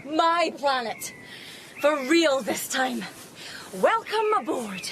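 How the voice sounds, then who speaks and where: High-voiced, urgent mother. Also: very animated, slow pace, a bit bright, exaggerated voice. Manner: wry, urgent, commanding. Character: determined grieving mother, protective and sardonic. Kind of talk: radio drama acting. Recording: noisy outdoor ambience behind the voice.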